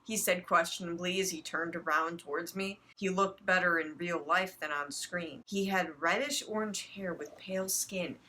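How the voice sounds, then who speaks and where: A woman's voice: fairly low pitch, normal speed, few pauses, bright, forceful tone. A young woman co-hosting a comic fanfiction reading, informal and mock-dramatic as she narrates and chats. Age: young adult. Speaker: co-host reading fanfiction aloud. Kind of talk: comic story reading with casual banter.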